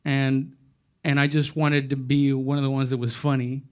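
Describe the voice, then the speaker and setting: A man with a Mexican accent, a comedian on a stage panel, talking casually in a rambling, halting, self-mocking way. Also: mid-range voice, little pitch movement, clean tone. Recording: stage panel with audience laughter.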